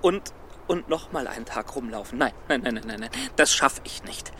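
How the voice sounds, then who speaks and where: A man playing a fussy, optimistic scholar and storyteller in a children's radio play, expressive and prone to stammer. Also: mid-range voice, moderate pace, a little hiss or hum. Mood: flustered.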